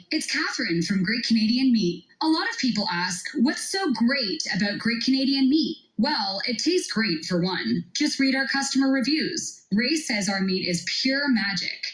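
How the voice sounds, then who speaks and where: A woman's voice: on the high side, roomy sound, poor audio. A woman reading a scripted radio ad as a company spokesperson.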